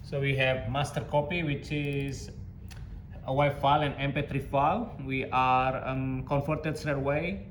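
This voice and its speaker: A man's voice: medium pitch, steady tone, a little slow. Man giving tutorial in echoey, noisy room. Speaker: instructor demonstrating audio digitisation software. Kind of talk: step-by-step software tutorial, informal. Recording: echoey room, clear background noise.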